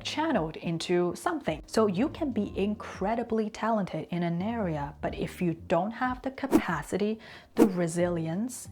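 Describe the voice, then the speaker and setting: A woman whose first language is Chinese, giving an earnest, reflective talk on consistency and slowing down to stress key words. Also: fairly low pitch, a little mellow. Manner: conversational asides and fillers. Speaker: language and culture teacher.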